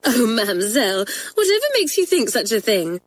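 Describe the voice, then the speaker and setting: A woman's voice: a little high, sing-song, moderate pace, dry recording, pitched above the performer's own voice. A woman voicing a bubbly, giggly schoolgirl who talks in gushing exclamations. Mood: innocent.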